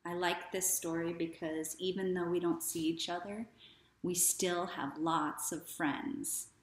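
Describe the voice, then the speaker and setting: A woman in her thirties reading a children's story aloud in a deliberate, expressive way. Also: lowish voice. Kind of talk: children's read-aloud narration. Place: small living room, indoors. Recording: echoey living room.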